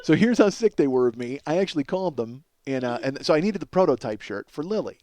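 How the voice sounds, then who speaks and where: A man's voice: on the high side, big pitch swings, fast, dark tone, no echo. A middle-aged American man delivering a casual, profane comedic monologue as a podcast host, exasperated and self-mocking, addressing his listeners as folks.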